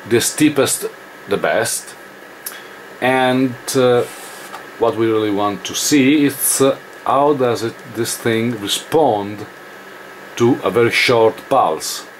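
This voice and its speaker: A man who is not a native English speaker gives an informal hands-on technical tutorial, haltingly thinking aloud as he works. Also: medium pitch, a little hiss or hum, rough recording. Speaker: electronics demonstrator.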